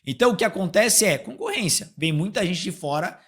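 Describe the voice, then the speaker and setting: A man's voice: sits high, expressive, a little fast, fairly bright tone, breathy edge. A man, explaining things informally with examples as a coach being interviewed. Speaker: interview guest, police exam prep coach. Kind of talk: conversational explanatory interview answers.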